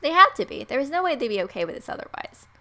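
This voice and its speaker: A woman's voice: sits high, sing-song, rapid-fire, bright, forceful tone. A woman hosting a gaming video, reading a visual novel's story aloud with playful asides.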